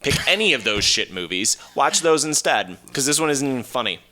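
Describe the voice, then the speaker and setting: A man's voice: medium pitch, lively intonation, brisk, pushed and bright. A man co-hosting a podcast in casual chat, with a blunt, emphatic way of talking. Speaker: podcast co-host.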